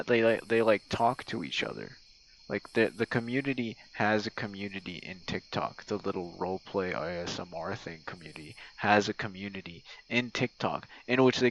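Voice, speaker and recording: A man's voice: deep voice, creaky, fairly bright tone, low-quality recording. A young man leaving a casual, laid-back audio message for a gamer friend, rambling and self-deprecating with plenty of swearing. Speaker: online audio roleplay creator and streamer. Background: crickets and night sounds.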